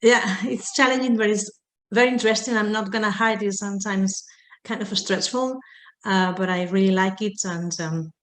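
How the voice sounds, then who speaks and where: A woman's voice: mid-range voice, normal speed, roomy sound. A woman, speaking earnestly on an online panel and often saying "okay" as a filler. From Spain. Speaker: panelist, logistics manager. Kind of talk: online round table answer. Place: home or office on a video call. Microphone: video call microphone.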